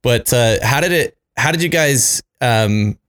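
A thirty-year-old man hosts the interview with friendly enthusiasm, hedging his questions with lots of "like" and "you know." His voice sits at a medium male pitch and sounds plain and natural. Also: expressive, unhurried, a bit bright. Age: 30s. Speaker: interviewer, podcast host. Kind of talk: casual interview.

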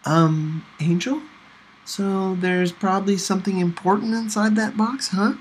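A man's voice: high-pitched, steady tone, a little slow, a little hiss or hum, pitched above the performer's own voice, rough recording. A man voicing an earnest, insistent teenage girl, tentative and a little defensive, in a novel reading. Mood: breezy, tentative.

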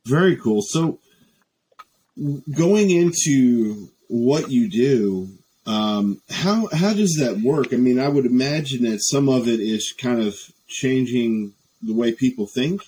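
A man's voice: mid-range voice, lively intonation, slow pace, dark tone, low-quality recording. A man hosting a casual podcast, upbeat and often correcting himself as he introduces a guest. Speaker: podcast host.

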